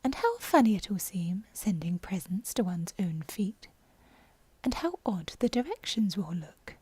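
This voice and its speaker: A woman's voice: mid-range voice, big pitch swings, unhurried, darkish tone, husky, no echo, heavily acted. A woman voicing a curious, dreamy young girl from a storybook, talking to herself in exclamations and musings. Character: curious, dreamy, self-scolding young girl, prone to chatter.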